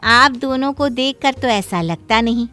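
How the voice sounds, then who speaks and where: High-pitched woman, blunt young sister-in-law, acted, suspicious, pointed, scolding, cartoon moral tale, soft music underneath. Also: unhurried. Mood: teasing, pointed. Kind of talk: Hindi moral cartoon story voice acting.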